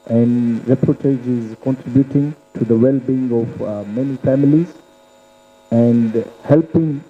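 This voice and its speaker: A man, a community chairman, giving a hesitant speech soundbite with many fillers. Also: mid-range voice. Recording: tinny phone-like sound with hum.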